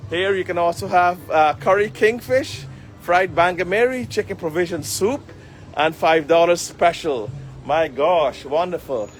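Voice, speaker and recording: A man's voice: high voice, a little slow, faint music behind. A man live-streaming as a community leader, addressing viewers in earnest, formal public-speaker phrasing. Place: outdoors on a city street. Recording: outdoor street noise, passing vehicles. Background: street ambience and traffic.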